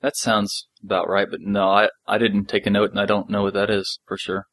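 Low-voiced man chatting on a podcast, dry sound. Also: flat delivery, brisk, fairly bright tone, no echo. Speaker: podcast co-host. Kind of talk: casual episode discussion.

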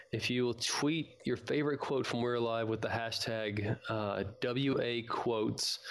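A man with a medium-pitched voice and a noticeable gravelly fry hosts a casual fan podcast. He talks informally and chattily, as one fan to another.

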